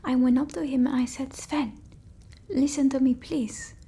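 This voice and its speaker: A woman playing a petulant female vampire in a whispered ASMR roleplay, with a theatrical, breathy delivery. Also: high-pitched, fairly even intonation, mellow. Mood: aggrieved. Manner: theatrical, slow, aggrieved. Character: petulant, melodramatic female vampire from an old village.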